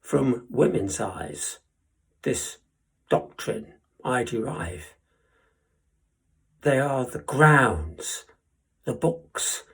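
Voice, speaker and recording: Guy acting a shakespeare lord, really husky breathy voice, slow and declamatory, witty, passionate stage monologue. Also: medium pitch, full character voice. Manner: declamatory, deliberate pauses, swelling and hushed emphasis. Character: witty, impassioned young lord pleading love's cause. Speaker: Shakespearean actor performing a monologue. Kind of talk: theatrical classical verse monologue.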